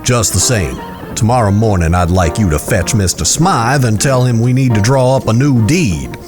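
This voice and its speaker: An actor playing a proud, calculating town boss in a western audio drama, speaking in a measured, formal, commanding way. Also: male voice, fairly low pitch, over music, natural voice. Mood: calculating, smooth.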